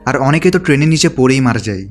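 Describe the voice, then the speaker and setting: A man's voice: medium pitch, lively intonation, racing, soft background music. A man reading a scripted story recap as a narrator.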